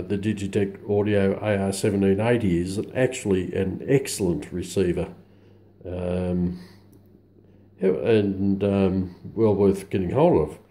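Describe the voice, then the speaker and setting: Australian male hobby reviewer, unscripted and casual, mellow tone, very unhurried, halting phrasing. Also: fairly low pitch. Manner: halting, hesitant delivery with very frequent pauses.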